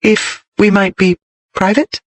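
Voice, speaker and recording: A man's voice: high voice, steady tone, slow pace, pitched above the performer's own voice. Man putting on a defiant young noblewoman. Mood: shy. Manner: pert, insistent, rising to anger.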